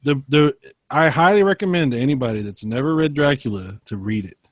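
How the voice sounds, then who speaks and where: A man's voice: mid-range voice, animated, fairly quick, no room sound. A man co-hosting a podcast in casual chat, speaking in an enthusiastic, emphatic way. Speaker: podcast co-host.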